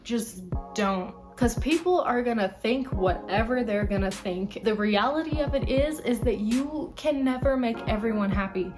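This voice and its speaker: A woman's voice: a little high, animated, moderate pace, few pauses, music in the background. A woman in her late twenties talking casually to camera, chatty and emphatic as she runs through a list of life lessons. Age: 20s. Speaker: vlogger.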